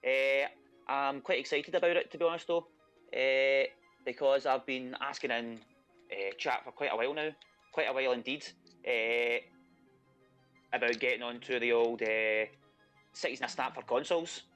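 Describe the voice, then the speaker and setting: A man's voice: mid-range voice, steady tone, moderate pace, long pauses, fairly bright tone, clear, resonant tone, faint music behind. A man from Scotland with a thick Scottish accent, chatting casually as a contestant being interviewed, with many fillers and a self-deprecating tone.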